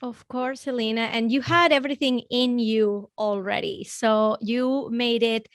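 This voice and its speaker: A woman in her forties from Venezuela, a native Spanish speaker with a Venezuelan accent, talking as a podcast guest in an energetic, motivational, straight-talking way. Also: on the high side, unhurried, no echo, studio quality. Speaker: guest business coach. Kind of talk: podcast interview conversation.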